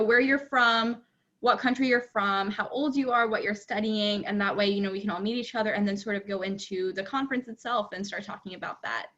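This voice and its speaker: Woman moderator, friendly and encouraging, slightly high voice, dull muffled call audio. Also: sharp tone. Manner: friendly, encouraging, many ums. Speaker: breakout room moderator. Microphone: video call.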